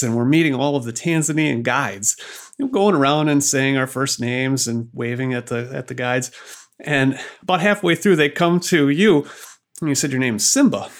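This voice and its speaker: A man hosting a podcast, chatty and animated, laughing easily as he tells stories and asks questions. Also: medium pitch. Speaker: podcast host. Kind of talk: podcast interview.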